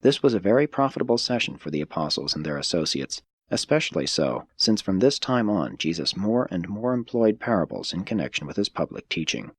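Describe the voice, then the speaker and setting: A man reading a religious audiobook as a scripted narration, in a measured, formal and instructive manner. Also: low voice, sing-song, husky, no echo.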